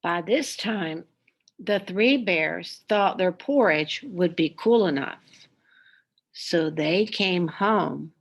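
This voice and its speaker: A woman reading a children's story aloud with expressive, deliberate, dramatic narration. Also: lowish voice.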